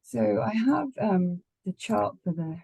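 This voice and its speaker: Woman astrologer, sick and croaky, casual and chatty, lots of ums. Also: mid-range voice, animated, slow, a little mellow, no room sound, rough recording. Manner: chatty, laughs often, many ums. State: croaky from the flu. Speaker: astrologer co-host.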